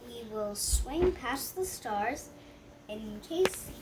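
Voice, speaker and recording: High-pitched young girl reading slowly aloud. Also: animated, very noisy. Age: child. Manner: halting read-aloud with stumbles and self-corrections. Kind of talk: reading a rhyming picture book aloud.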